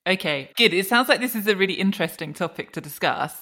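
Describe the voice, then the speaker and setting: A woman's voice: medium pitch, lively intonation, fast, bright, forceful tone. A woman hosting a company podcast, welcoming guests and asking questions in an encouraging interviewer's manner. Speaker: podcast host.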